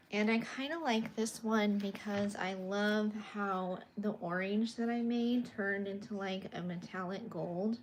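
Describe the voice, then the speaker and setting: A young woman from California, an artist casually chatting on a livestream from her bedroom as she shows her paintings and answers viewer comments. She is soft-spoken and a little awkward. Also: medium pitch, slight background noise.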